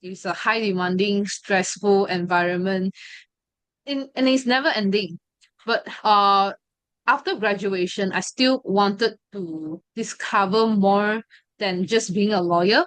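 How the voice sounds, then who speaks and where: A woman's voice: medium pitch, unhurried, fairly bright tone, dry recording. Hesitant young woman, dry sound. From Malaysia. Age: 20s. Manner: hesitant, full of fillers and restarts. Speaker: study group member sharing her story. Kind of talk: personal testimony.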